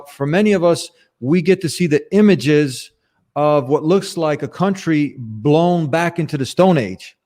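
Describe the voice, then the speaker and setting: Guy hosting an interview, kinda higher voice, casual, rewords his questions as he talks. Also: darkish tone. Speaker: interview show host.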